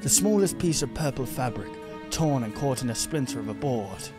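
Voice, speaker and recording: A man voicing a brooding, haunted, self-doubting noir detective from a video game, in measured, moody hard-boiled narration. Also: medium pitch, animated, music in the background, natural voice. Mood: moody, weary.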